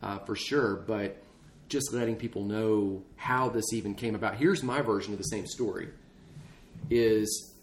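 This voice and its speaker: A man's voice: fairly low pitch, unhurried. A man speaking as a podcast co-host, chatting casually and off the cuff with folksy, loose phrasing. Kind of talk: casual unscripted podcast chat. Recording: clear background noise, ambience.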